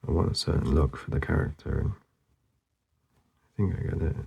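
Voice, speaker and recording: A man narrating casually as he draws, laid-back and murmuring, with a husky, gravelly voice that often trails off. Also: rumbling low, moderate pace, darkish tone. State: losing his voice, hoarse. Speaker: artist hosting a drawing channel. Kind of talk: casual narration while drawing.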